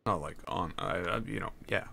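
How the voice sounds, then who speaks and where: A man streaming a game, chatting casually and haltingly, answering chat while he builds. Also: low voice, creaky, bright, forceful tone, slight background noise. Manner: rambling, halting, answers chat between tasks.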